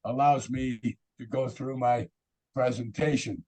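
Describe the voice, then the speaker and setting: A hoarse man with a raspy voice giving a webinar presentation over a video call, halting with many fillers. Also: mid-range voice, fairly even intonation, a little mellow. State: hoarse from illness.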